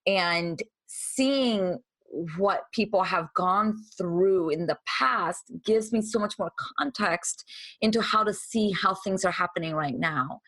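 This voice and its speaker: A woman's voice: medium pitch, takes it slowly, fairly bright tone, no echo. A woman from Brazil who moved to the United States as a child, hosting a reflective conversational interview in an earnest, emphatic way. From Brazil, moved to the United States at seven. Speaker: podcast host interviewing a guest.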